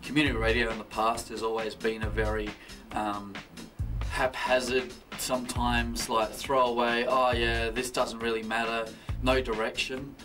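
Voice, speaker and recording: A man's voice: mid-range voice, little pitch movement, normal speed. A man giving a casual, hesitant interview answer, piecing his thoughts together. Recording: distant mic, music behind.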